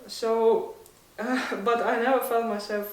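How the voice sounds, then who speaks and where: Slow, hesitant young woman with a Russian accent. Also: fairly high pitch, level tone. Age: 20s. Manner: hesitant, thoughtful, laughs often. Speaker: interview guest. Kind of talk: casual interview. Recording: echoey room, mic at a distance.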